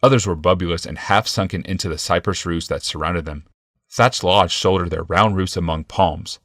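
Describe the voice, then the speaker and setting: A man's voice: sits low, moderate pace, fairly bright tone, dry recording, studio quality. A man reading a scripted fantasy audiobook as its narrator.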